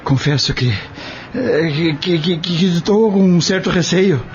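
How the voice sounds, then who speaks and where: Man acting a timid, stammering farmhand, slightly high-pitched. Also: measured pace. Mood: nervous, hesitant. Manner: stammering, hesitant, deferential. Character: timid, anxious farmhand, male, hesitant and polite. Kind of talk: audio soap opera acting. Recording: dull lo-fi sound with faint noise.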